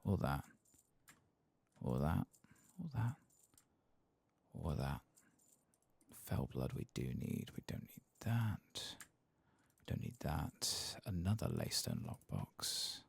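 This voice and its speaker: A man giving soft-spoken ASMR game commentary, murmuring and often slipping into whispers close to the microphone. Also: very deep voice, sing-song, takes it slowly, gravelly, pauses often, slightly rough, no room sound.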